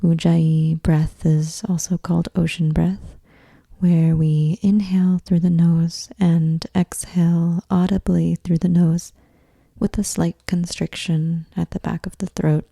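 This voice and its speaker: A woman guiding a meditation in a soft, breathy near-whisper, with soothing, measured delivery. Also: on the low side, flat delivery, no echo, clean studio sound. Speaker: yoga nidra meditation guide. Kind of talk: guided meditation.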